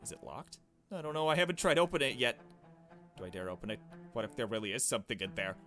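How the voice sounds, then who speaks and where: A man's voice: medium pitch, fast, fairly bright tone, faint music behind, lightly acted. Man voicing an anxious, hesitant young woman. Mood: nervous. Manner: hesitant, questioning.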